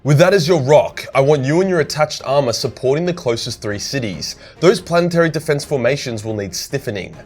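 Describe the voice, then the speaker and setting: A man acting a gruff military commander, decisive, authoritative, clipped orders, medium pitch, audiobook war story, music underneath. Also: lively intonation, a little fast, hardly any pauses, a little mellow. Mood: firm orders. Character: commanding male major general, gruff, decisive military officer. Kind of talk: dramatised storytelling.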